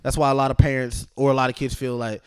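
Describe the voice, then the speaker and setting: A man's voice: mid-range voice, level tone, fast, a little mellow, clear, resonant tone. A man in his thirties hosting his own podcast, chatting casually in a joking, playful way. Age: 30s. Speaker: podcast host. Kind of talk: casual chat.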